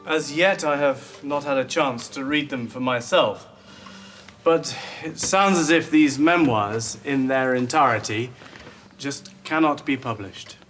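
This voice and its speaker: A man's voice: medium pitch, unhurried, a bit bright, soft background music, lightly acted. An actor playing a cautious, diplomatic official who speaks politely and formally, choosing his words, in a period drama. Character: cautious, diplomatic male official weighing publication.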